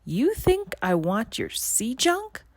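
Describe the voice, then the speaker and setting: A woman voicing a sinister, scheming sea witch in a storybook, with a croaky, rasping, drawn-out menacing delivery. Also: on the high side, huge pitch swings, darkish tone, no echo, voice pushed up, full character voice. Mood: scornful.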